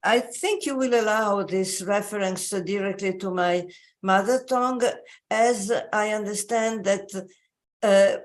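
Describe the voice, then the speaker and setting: Slow, halting woman lecturing. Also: mid-range voice. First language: Italian. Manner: slow, halting, many pauses and fillers. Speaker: invited speaker. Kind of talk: academic lecture. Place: joining remotely.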